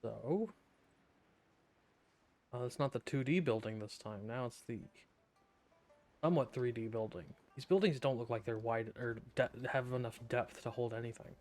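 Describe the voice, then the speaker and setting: A man's voice: medium pitch, moderate pace, pauses often, darkish tone, faint music behind. A young man giving casual, joking and offhand commentary while streaming a video game.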